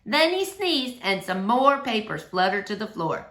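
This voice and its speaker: Animated woman reading a story, echoey room. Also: medium pitch, a little slow. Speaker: teacher reading a novel aloud to children. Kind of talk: expressive scripted children's story narration. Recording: roomy, echoey indoor sound.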